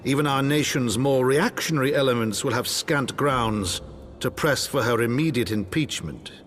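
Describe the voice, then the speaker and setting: A man playing a wary, proper highborn lord, speaking as a formal, cautioning counsellor in a fantasy game. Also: moderate pace, fairly bright tone, natural voice. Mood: measured.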